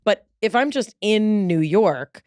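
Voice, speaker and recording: A woman's voice: medium pitch, animated, slow pace, darkish tone, smooth, dry recording, clean studio sound. A woman hosting a podcast interview, talking in an articulate, reflective way and explaining at length. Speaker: podcast host. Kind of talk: casual interview chat.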